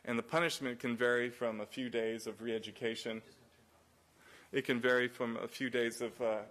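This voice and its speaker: A man's voice: mid-range voice, flat delivery, normal speed, a bit bright. A man giving an anecdotal symposium talk with slides as a nonprofit director, loose and full of fillers and restarts. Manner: acts out quoted exchanges in raised voices. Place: college symposium room.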